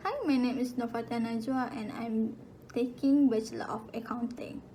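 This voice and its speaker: A woman in her twenties from Malaysia, giving a soft, plain student self-introduction. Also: on the high side, steady tone, moderate pace, slight background noise. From Kajang, Malaysia. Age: 20s.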